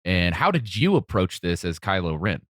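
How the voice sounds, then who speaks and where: A man with a fairly low voice in a dry, close recording. He hosts a podcast, speaking conversationally as he guides guests through a discussion. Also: big pitch swings, normal speed, fairly bright tone, dry recording. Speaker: podcast host. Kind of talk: conversational interviewing.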